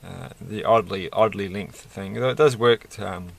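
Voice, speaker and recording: A middle-aged man with a croaky voice, chatting casually and haltingly through a hobby build, often searching for words. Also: sits low, a little hiss or hum. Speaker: Lego build video creator. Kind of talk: casual hobby vlog, unscripted commentary while building. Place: indoors at a desk.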